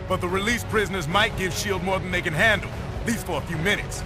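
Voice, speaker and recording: An actor playing a quick-witted, practical hero in a video game cutscene. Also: male voice, high voice, over music, lightly acted. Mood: urgent.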